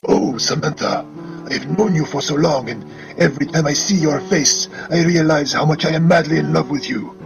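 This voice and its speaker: Actor as creaky melodramatic soap man over music. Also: on the high side, normal speed. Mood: lovestruck, earnest. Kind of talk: melodramatic TV soap narration and acting.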